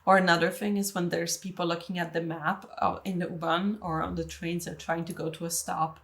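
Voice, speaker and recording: A young Italian woman, co-host of a podcast, chatting casually and telling animated stories with many fillers. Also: fairly low pitch, a little fast. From Italy. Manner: animated storyteller, lots of fillers. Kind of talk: casual chat.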